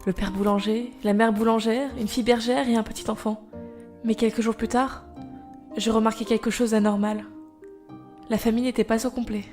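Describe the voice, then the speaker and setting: An actress playing an anxious young mother, telling an eerie tale in measured first-person narration for an audio drama. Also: a little high, flat delivery, darkish tone, faint music behind, lightly acted. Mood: wistful narration.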